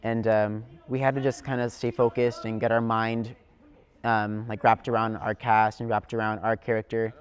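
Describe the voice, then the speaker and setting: A man's voice: fairly low pitch, level tone, normal speed, clear, resonant tone. A teenage young man, a student actor, giving casual answers in an interview. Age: teens.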